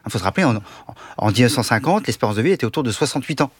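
A man speaking as a guest expert on a radio panel, explaining his points quickly and with animation. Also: mid-range voice, expressive, breathy edge, dry recording.

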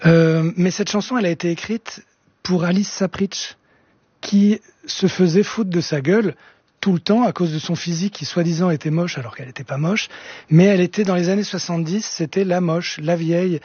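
Man, soft-spoken, husky and a bit high, storytelling guest, dry dull radio studio sound. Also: normal speed, no room sound. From France. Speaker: guest musician. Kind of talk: radio talk show guest.

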